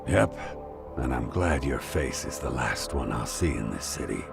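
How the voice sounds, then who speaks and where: An actor playing a cold, menacing man of few words in a video game dub, with a gravelly voice and understated delivery. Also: very deep voice, expressive, moderate pace, exaggerated voice. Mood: quietly menacing. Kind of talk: English-dub video game cutscene acting. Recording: music behind the voice.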